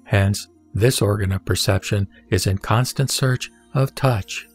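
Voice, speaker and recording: A man giving a solemn, measured recitation of scripture in the manner of a scripted narration. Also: on the low side, husky. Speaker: sutra reciter. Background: background music.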